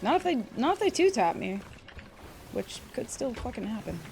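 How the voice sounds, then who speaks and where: A woman livestreaming a game, giving chatty, excitable commentary and swearing freely. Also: on the high side, moderate pace, gravelly, music in the background. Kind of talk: casual gameplay commentary.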